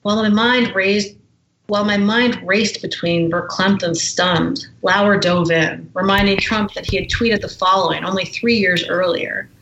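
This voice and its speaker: A woman's voice: mid-range voice, steady tone, a little slow, a bit bright, roomy sound, dull audio, a little hiss or hum. A woman guest who is an author, speaking in a forthright, indignant way and reading from her memoir. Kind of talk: reading aloud from her memoir, then conversation.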